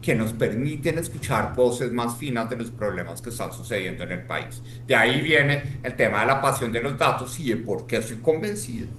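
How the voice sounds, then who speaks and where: A man's voice: medium pitch, animated, normal speed, few pauses, a bit bright, echoey room, slight background noise. A man from Colombia speaking passionately as a podcast guest, emphatic and rhetorical, often checking in with "¿sí?". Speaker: guest, former statistics official.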